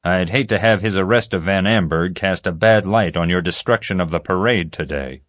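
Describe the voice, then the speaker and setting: A man's voice: low voice, normal speed, fairly bright tone, no room sound, studio quality. A man voicing a stern, grumbling security chief in an audiobook, curt, formal and commanding. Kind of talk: audiobook character voice.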